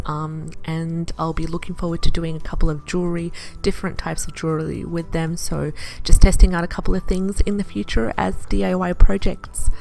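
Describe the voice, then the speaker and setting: A woman from Iraq narrating her vlog in a chatty, enthusiastic way, pausing often with "uh". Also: on the low side, a little mellow. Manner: chatty, enthusiastic, with frequent "uh" pauses. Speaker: vlogger.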